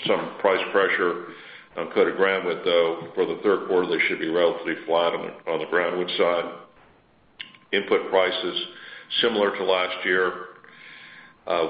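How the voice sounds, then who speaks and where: Deep-voiced man on an echoey conference call. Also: highs cut off. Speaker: company executive presenting financial outlook. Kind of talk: earnings call presentation. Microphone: conference call line.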